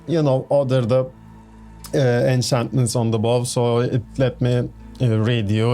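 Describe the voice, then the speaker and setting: A man talks through a game build on his channel, unhurried and easygoing. His voice is soft and mellow in tone. He circles back, restarts sentences and fills gaps with "you know what I mean", over faint background music. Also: mid-range voice. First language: Turkish. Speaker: gaming channel host. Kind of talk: casual game build walkthrough.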